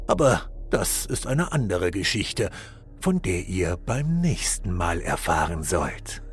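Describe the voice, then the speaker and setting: A man playing a solemn fairy-tale storyteller, giving scripted narration in a dramatic, mock-solemn, measured manner. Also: low-pitched, big pitch swings, faint music behind, exaggerated voice. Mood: hushed, storytelling.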